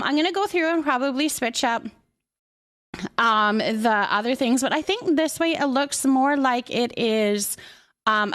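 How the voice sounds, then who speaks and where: High-voiced, bubbly woman live streamer. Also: a bit bright. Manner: bubbly, affectionate, chatty. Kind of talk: casual livestream chat, greeting viewers.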